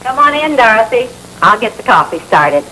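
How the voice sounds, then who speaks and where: An actress playing an easily offended, haughty housewife who complains indignantly in an old training film. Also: female voice, fairly high pitch, sing-song, normal speed, pushed and bright. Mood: pleased, chatty. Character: huffy, easily offended housewife, new in town. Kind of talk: vintage training film acting. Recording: noisy old film soundtrack.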